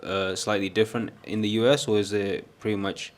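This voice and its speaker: A man hosting a casual podcast, talking haltingly with lots of fillers and some joking. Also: on the low side, steady tone. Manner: halting, many fillers and restarts, jokey. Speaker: podcast host.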